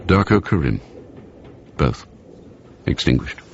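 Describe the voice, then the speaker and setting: An actor playing a grave, ominous narrator of a radio spy thriller, measured and sombre in his scripted delivery. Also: a bass voice, very animated, vocal fry, exaggerated voice. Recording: muffled, faint background noise.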